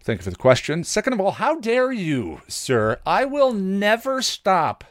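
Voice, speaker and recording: A man's voice: high voice, huge pitch swings, measured pace, no room sound, clean studio sound. A man hosting a casual solo podcast in a rambling, self-deprecating way, often acting out his own inner dialogue. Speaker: podcast host.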